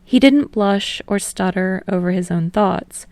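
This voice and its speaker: A woman's voice: mid-range voice, unhurried, a little mellow, no room sound. A woman reading fiction aloud as a scripted audiobook narrator.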